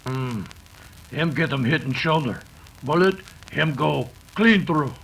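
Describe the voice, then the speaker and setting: A stoic sidekick in an old radio western, talking in terse broken English. The voice is gravelly, forceful and slow, over faint noise. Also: male voice, on the high side, expressive, heavily acted, poor audio. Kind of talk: 1930s western radio acting.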